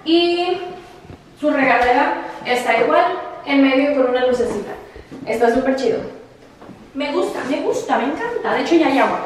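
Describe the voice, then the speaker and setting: A woman's voice: sits high, expressive, normal speed, pauses often. A woman in her twenties giving a casual house tour vlog, bubbly and enthusiastic, using informal slang. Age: 20s. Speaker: vlogger. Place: empty rooms of a house, indoors. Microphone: camera held by another person, few metres away. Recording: echoey empty rooms, distant mic, faint noise.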